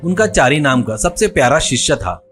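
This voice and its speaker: A man narrating an animated moral story in a plain, steady storytelling manner. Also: mid-range voice, moderate pace, faint music behind.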